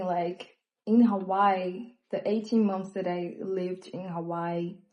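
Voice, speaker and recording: A young woman with a Brazilian accent, native in Portuguese, talking openly and reflectively in a personal vlog, with frequent fillers and pauses. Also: medium pitch, lo-fi sound. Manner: reflective, hesitant with many fillers. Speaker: vlogger. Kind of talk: candid personal monologue.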